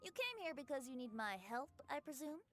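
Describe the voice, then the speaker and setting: A woman voicing a playful, teasing young male bard in an animated video game, with a lilting, theatrical delivery. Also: high-pitched, fairly quick, faint music behind.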